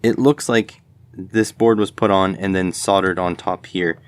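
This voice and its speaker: Low-pitched man thinking aloud. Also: fairly even intonation, measured pace, smooth. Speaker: host of an electronics video channel. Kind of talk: casual hands-on teardown commentary.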